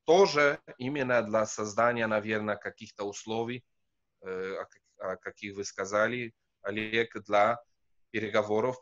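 A man's voice: on the low side, a bit bright, highs cut off. A man in his thirties, a professor and non-native speaker, answering on a video call with hesitant, filler-laden speech. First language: not Russian. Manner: hesitant, many fillers and restarts. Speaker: professor, guest. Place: wood-panelled room indoors.

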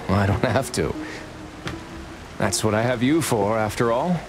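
A man's voice: lowish voice, slow, music in the background, rough recording. An actor playing a cold, rich businessman villain in a Western game, pompous and condescending. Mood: smug, cold.

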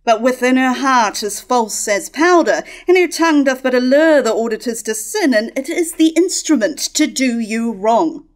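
A woman's voice: a little high, animated, normal speed, few pauses, natural voice. A woman in her thirties playing a scornful, scheming royal woman in a staged verse tragedy reading. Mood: coldly persuasive. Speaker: actor reading Herod's scheming sister in a staged play reading. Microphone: stand microphone at a lectern.

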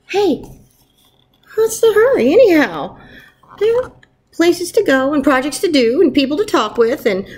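Unhurried, higher-voiced woman, encouraging teacher. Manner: encouraging, expressive. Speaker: first grade teacher. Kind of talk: warm informal message to pupils and picture-book read-aloud. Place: wood-ceilinged room at home, indoors. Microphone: webcam at arm's length.

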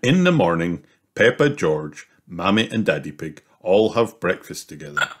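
Children's book narrator, male, low register, unhurried and animated read-aloud. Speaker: storybook narrator. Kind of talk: scripted children's story narration.

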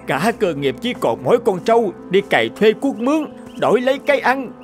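A man's voice: high voice, sing-song, brisk, soft background music. A man voicing a despairing poor farmer in a cartoon, lamenting in a wailing, melodramatic way. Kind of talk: animated fairy-tale dubbing.

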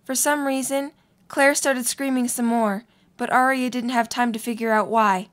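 A woman's voice: fairly high pitch, fairly even intonation, moderate pace. A woman reading scripted story narration as the narrator of an audio drama.

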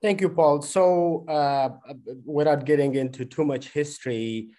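A man, a guest on a webinar video call, explaining in a measured way with many fillers and restarts. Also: a little high, fairly even intonation, mellow. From Afghanistan. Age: 30s. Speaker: guest, head of a news service. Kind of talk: webinar panel answer. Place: indoors on a video call, virtual background. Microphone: webcam video call.

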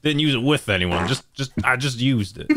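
A man streaming a card game from home, joking and animated as he plays. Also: mid-range voice, normal speed, a crowd in the background. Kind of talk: casual gaming chat.

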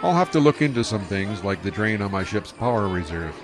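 A man's voice: fairly low pitch, animated, a little fast, over music, natural voice. An actor playing an easygoing young male starship pilot who talks in a casual, laid-back way in an audio drama.

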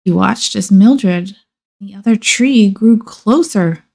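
A woman reading a children's picture book aloud expressively, with measured dramatic pauses and emphasis. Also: mid-range voice, gravelly, a little mellow. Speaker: storybook reader.

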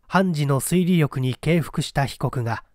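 A man narrating a literary mystery story from a script, with deliberate, dramatic storytelling delivery. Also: on the high side, darkish tone, no room sound, studio quality. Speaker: audiobook narrator, stage actor.

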